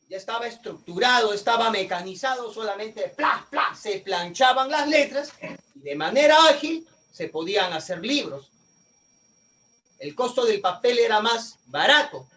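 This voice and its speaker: Male teacher, very high voice, slightly off-mic, lecturing and quizzing his class. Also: a bit bright, far from the mic, rough recording. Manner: asks the class questions and answers them himself.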